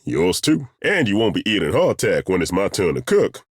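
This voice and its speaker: An actor playing a burly, good-humoured ageing masseur turned adventurer in a video game, with a husky voice and a folksy, self-deprecating, joking way of talking. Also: male voice, sits low, very animated, no echo, studio quality, exaggerated voice. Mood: eager, friendly. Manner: folksy, joking, chuckling, drawn-out hesitations. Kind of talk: video game character dialogue.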